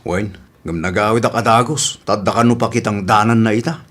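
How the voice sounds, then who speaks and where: A man's voice: lowish voice, steady tone, brisk, fairly bright tone. A man with an Ilocano Filipino accent playing an easygoing, grumbling older village father in a comedy radio drama. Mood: lazy grumbling.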